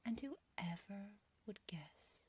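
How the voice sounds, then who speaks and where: A woman playing an ancient, beautiful witch in an ASMR roleplay, obsessively loving and menacing, speaking in a breathy whisper with archaic, theatrical phrasing. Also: medium pitch, lively intonation, full character voice. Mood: smug, mysterious. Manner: slow, theatrical, archaic wording, doting yet condescending.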